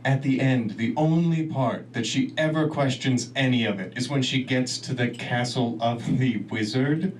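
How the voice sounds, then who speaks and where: A man's voice: medium pitch, normal speed, hardly any pauses. Rambling stand-up comic man, distant and noisy. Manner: rambling, self-deprecating, laughs at own jokes. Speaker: stand-up comedian. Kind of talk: stand-up set. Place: comedy club stage. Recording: distant mic, noisy club room.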